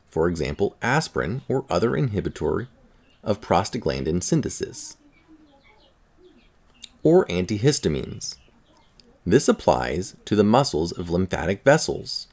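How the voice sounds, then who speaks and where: Low-pitched man reading an article aloud. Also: gravelly, darkish tone. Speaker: article reader.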